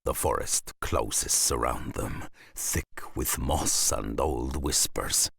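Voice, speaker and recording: Very deep, gravelly saga storyteller. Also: male voice, a bit bright, dry recording, studio quality, full character voice. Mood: grave, ominous. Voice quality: gravelly, husky. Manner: slow, ominous, deliberate. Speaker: narrator. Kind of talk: dramatic scripted storytelling narration.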